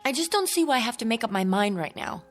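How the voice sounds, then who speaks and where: A woman's voice: fairly high pitch, lively intonation, quick pace, faint music behind, close to an everyday voice. An actress playing a troubled, stubborn eighteen-year-old young woman in a radio drama. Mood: guarded.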